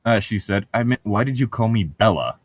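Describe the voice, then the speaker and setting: Man, lively swooping intonation, dry close sound, irreverent read-along co-host, casual sweary banter. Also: fairly low pitch, fairly quick, dry recording. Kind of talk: book read-aloud with casual joking banter.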